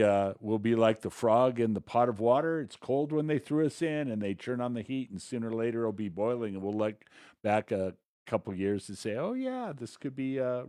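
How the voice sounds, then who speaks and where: A man's voice: fairly low pitch, dark tone, no room sound, clean studio sound. A man co-hosting a talk show with conversational political commentary, hesitant and rambling, often repeating himself.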